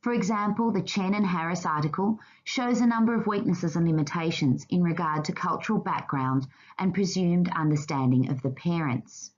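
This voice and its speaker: A woman narrating a scripted slide presentation in a formal, measured reading manner. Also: on the low side, lo-fi sound, a little hiss or hum.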